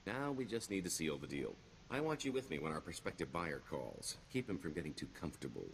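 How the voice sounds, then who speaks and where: A silver-tongued video game swindler with a low male voice that rises and dips with great expression. He is self-assured and wry, always working a sales pitch. A light layer of noise sits behind him. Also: sing-song, a little fast, creaky, exaggerated voice, poor audio. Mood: sly, scheming. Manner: wheedling salesman patter, wry. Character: smooth-talking male con-artist schemer, sly and self-assured. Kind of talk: video game dialogue acting.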